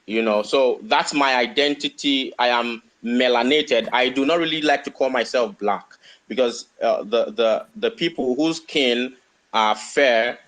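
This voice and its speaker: Man, rabbi, discussion guest, hesitant, many pauses, mid pitch. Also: fairly bright tone, rough recording. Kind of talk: live online discussion.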